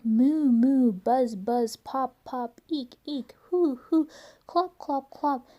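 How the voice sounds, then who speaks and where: A woman reading a picture book aloud for young children, expressive and playful with the sounds of the words. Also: sits high, slow, dull audio. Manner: expressive, with playful imitations of noises.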